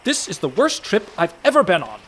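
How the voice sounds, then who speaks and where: Man playing a fussy, reluctant young pirate, swooping pitch. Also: huge pitch swings, brisk, a bit bright, slight background noise, full character voice. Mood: whiny. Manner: fussy, exasperated. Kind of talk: comedic radio play acting.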